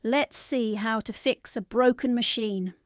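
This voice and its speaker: A woman's voice: fairly high pitch, a little slow, no room sound, clean studio sound, natural voice. A woman voicing a spirited young female fairy leader in a bedtime storybook, exclaiming and commanding. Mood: determined.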